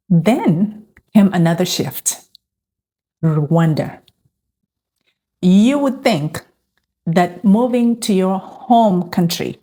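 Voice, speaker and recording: A middle-aged woman tells her story in a calm, earnest way. Her voice is low and mellow. She speaks slowly and reflectively. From Uganda. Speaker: faith and lifestyle vlogger. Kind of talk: personal testimony to camera.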